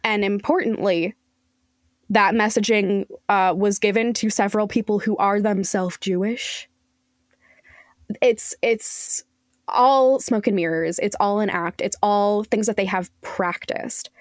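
A woman's voice: mid-range voice, fairly even intonation, a little slow, no echo. Earnest woman guest, dry close sound. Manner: earnest, emphatic, articulate. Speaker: podcast guest, journalist. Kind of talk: conversational interview.

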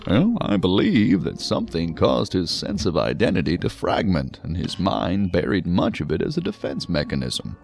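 An actor playing a talkative, learned psychiatrist who muses and explains at length in a science fiction audio drama. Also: male voice, low-pitched, big pitch swings, normal speed, vocal fry, few pauses, a little mellow, full character voice. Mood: musing. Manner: wordy, musing, explanatory. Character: male psychiatrist, talkative, learned, genially hedging.

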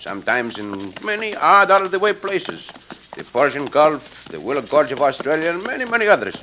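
A suave, courteous cowboy hero in a radio Western. His delivery is bright and formally phrased. The vintage recording sounds dull and slightly noisy. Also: a little high, very animated, brisk. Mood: musing, playful. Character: suave, romantic, adventurous Mexican cowboy hero. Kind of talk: old-time radio acting.

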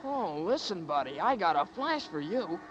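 An actress playing a brash bystander who explains things with slangy wisecracks, in 1940s radio acting style. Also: female voice, on the low side, very animated, normal speed, dull audio, slight background noise. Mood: wry. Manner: breezy, slangy.

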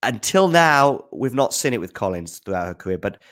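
Casual man thinking aloud, mid-pitched. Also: sing-song, moderate pace, a bit bright. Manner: thinks aloud, off-the-cuff and enthusiastic. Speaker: tennis news host answering viewer questions. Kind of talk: casual spoken commentary.

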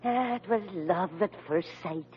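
A woman's voice: on the low side, measured pace, slight background noise, natural voice. An actress playing a fond, soothing middle-aged mother with a tactful manner in an old-time radio comedy. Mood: fond, encouraging. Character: fond, encouraging mother of a grown son. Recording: muffled old radio recording.

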